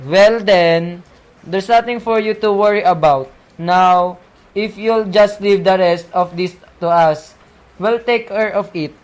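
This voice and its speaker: A man's voice: high-pitched, measured pace. A man playing a patient but dismissive police sergeant in an amateur radio play, placating and matter-of-fact. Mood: reassuring.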